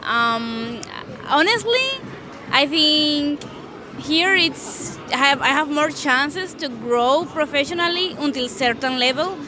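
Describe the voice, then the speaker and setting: A woman from Colombia answering street interview questions, halting and correcting herself as she talks. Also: high voice, expressive, background noise. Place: city street outdoors. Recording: busy street crowd behind. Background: crowd.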